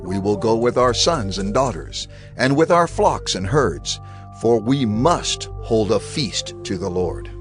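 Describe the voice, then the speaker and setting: An actor playing a firm, resolute Hebrew leader in an audio Bible drama, speaking in declarations. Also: male voice, lowish voice, lively intonation, unhurried, over music.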